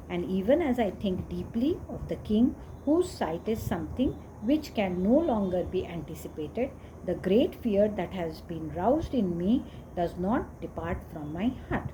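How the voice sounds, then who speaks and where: A woman's voice: medium pitch, rough recording. Woman reading scripture over noticeable background noise. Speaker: reader of scripture.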